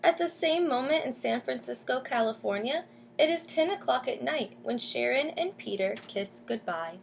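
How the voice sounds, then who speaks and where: Higher-voiced woman reading a storybook aloud. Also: expressive, normal speed, creaky, a little hiss or hum. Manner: explains and asks questions as if to young listeners. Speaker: teacher reading a picture book aloud. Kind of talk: storybook read-aloud with comments to children.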